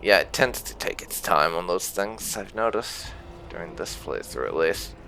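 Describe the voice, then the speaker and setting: A man's voice: deep voice, animated, normal speed, vocal fry. A man giving casual gameplay commentary in a wry, understated manner. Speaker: gaming channel host. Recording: game music loud behind voice. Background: game music.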